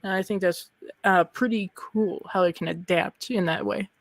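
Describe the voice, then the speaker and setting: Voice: medium pitch, fairly even intonation, normal speed, gravelly, no room sound. A casual interview host with a mid-range voice, recorded close and dry. The delivery is conversational, with playful asides dropped in along the way.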